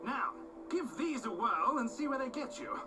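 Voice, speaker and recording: A woman's voice: on the high side, very animated, moderate pace. A woman playing a theatrical, wry omniscient storyteller in scripted video game narration. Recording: distant, under loud game music. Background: loud game music.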